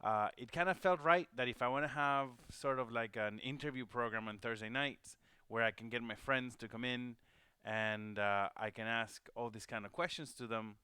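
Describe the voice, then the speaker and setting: A man's voice: mid-range voice, moderate pace, sharp tone, clear, resonant tone, no room sound, clean studio sound. A man from Venezuela hosting a livestream, talking casually and rambling with many fillers and restarts. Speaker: host. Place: home studio.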